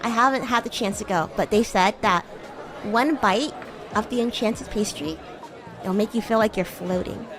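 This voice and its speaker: A woman voicing a bratty, playful young demon schoolgirl in chatty livestream roleplay, with a teasing, giggly, halting manner. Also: medium pitch, voice pushed down, natural voice. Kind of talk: improvised in-character livestream roleplay chat. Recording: music and crowd murmur behind. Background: music and crowd ambience.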